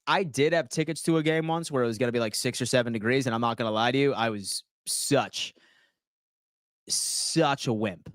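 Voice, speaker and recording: A man, hosting a casual sports livestream and answering viewers' comments in slangy, animated talk. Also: medium pitch, no room sound, studio quality. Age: 30s. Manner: slangy, animated, reads chat aloud and answers.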